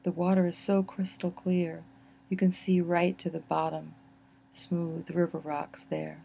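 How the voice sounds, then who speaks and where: A woman narrating a guided relaxation exercise in a gentle, soothing manner, with unhurried phrasing and pauses. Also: on the low side, flat delivery, creaky, slight background noise, poor audio.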